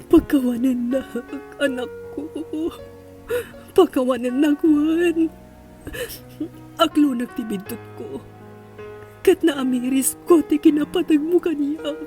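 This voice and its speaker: Remorseful mother for audio drama, played by a woman: very high voice, slow tearful pleading. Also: dark tone. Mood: remorseful, relieved. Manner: tearful, pleading, emotionally drawn-out. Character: remorseful, distraught mother pleading for her child. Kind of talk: melodramatic audio drama acting. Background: music.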